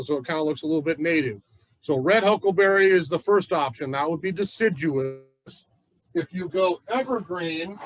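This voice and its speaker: A man's voice: high-pitched, a bit bright, no echo, low-quality recording. A man from the Pacific Northwest giving a chatty, folksy gardening class at a plant nursery. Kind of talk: casual gardening class talk.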